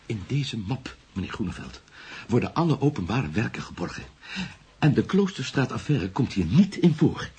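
A man's voice: mid-range voice, sing-song, normal speed, warm tone, a little hiss or hum, lightly acted. An actor playing a small, nervous, secretive alderman in a radio play, polite and fearful, speaking in hesitant, agitated phrases. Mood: guarded, polite.

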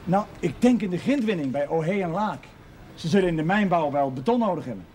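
High, lively-voiced working-class father. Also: fairly quick. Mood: friendly, frank. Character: warm, proud working-class widowed father. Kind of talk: television period drama acting. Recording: faintly noisy 1970s television soundtrack.

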